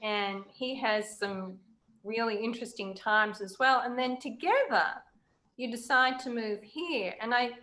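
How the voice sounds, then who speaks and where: A woman with a fairly high voice, hosting a conversational interview. She speaks reflectively, pausing as she picks her words. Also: fairly bright tone. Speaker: interviewer, writer.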